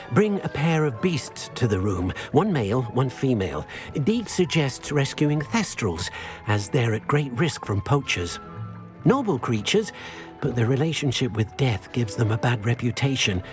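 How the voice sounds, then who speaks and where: Game house-elf: old, polite, eager to help, mid-pitched with big melodic swings, clear music underneath. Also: male voice, big pitch swings, measured pace. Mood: earnest, helpful. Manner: refers to himself in third person, hesitant. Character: elderly male house-elf, earnest, courteous, devoted to creatures. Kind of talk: video game character dialogue.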